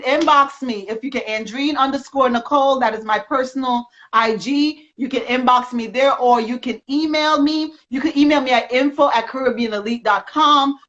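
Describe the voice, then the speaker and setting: A woman giving energetic, rambling encouragement in a casual live video chat, speaking as a magazine representative. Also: high voice. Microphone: video call. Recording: dull lo-fi sound.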